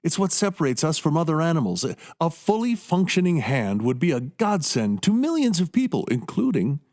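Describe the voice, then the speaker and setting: A man's voice: a little high, sing-song, fairly quick, darkish tone. An actor playing a zealous, boastful doctor in a radio play, explaining his work with theatrical emphasis. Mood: fervent, persuasive. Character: zealous, boastful male doctor pitching experimental prosthetics.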